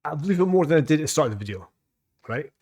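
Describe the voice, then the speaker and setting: A man's voice: on the high side, animated, rapid-fire, darkish tone. A Scottish man rambling through casual reaction commentary, with lots of fillers, restarts and swearing. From Scotland. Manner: rambling and repetitive, with frequent fillers and profanity. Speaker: reaction vlogger.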